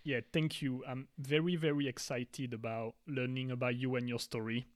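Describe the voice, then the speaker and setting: A man co-hosting a podcast, asking casual questions in a chatty way with soft laughs and hesitant fillers. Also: mid-range voice, level tone, moderate pace. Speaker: podcast co-host.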